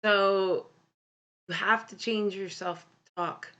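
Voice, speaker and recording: A woman with a deep voice hosting a casual live stream, talking candidly and bluntly with many ums and self-corrections. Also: fairly even intonation, takes it slowly, sharp tone, muffled recording. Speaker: livestream host. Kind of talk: casual live-stream talk about mental health.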